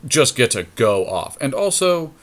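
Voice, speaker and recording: A man co-hosting casual gameplay commentary on a card game, thinking out loud with jokey banter. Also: mid-range voice, sing-song, a little slow. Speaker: podcast co-host playing an online card game.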